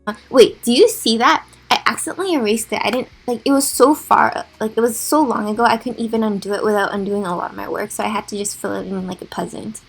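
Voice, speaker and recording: A young woman with a raspy voice, chatting casually and with self-deprecating humor as she talks over a drawing timelapse. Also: fairly high pitch, quick pace, low-quality recording. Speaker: gaming YouTuber.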